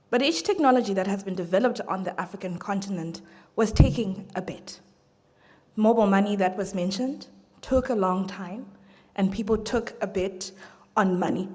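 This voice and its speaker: A woman's voice: mid-range voice, darkish tone. Earnest woman debater in a reverberant hall. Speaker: debater for the proposition. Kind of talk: debate response.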